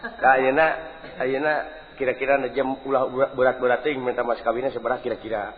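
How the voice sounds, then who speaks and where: A man's voice: mid-range voice, flat delivery, a little fast, in a large hall, natural voice. A man playing a brash, teasing village joker in Sundanese folk comedy, with a West Javanese accent and earthy, blunt banter. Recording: muffled live recording, noisy crowd.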